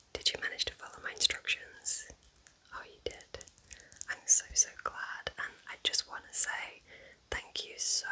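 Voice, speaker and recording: Casting: woman playing a kind, affectionate cottage witch for ASMR roleplay, soothing and chatty, in a breathy, very bright, sibilant whisper. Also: low-quality recording. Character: kind, cosy forest witch welcoming a visiting relative.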